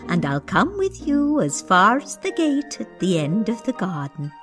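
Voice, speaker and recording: A woman's voice: mid-range voice, wildly swooping pitch, unhurried, fairly bright tone, faint music behind. A woman voicing a kindly, gracious magical mistress in a children's storybook cartoon.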